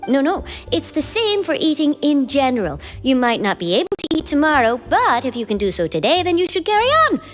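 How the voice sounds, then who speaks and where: Calm polite creature girl, high lilting voice, reverb, soft game music. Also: brisk, hardly any pauses. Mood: gentle. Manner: calm, matter-of-fact, politely curious. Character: polite gentle young non-human girl. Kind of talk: video game voice acting.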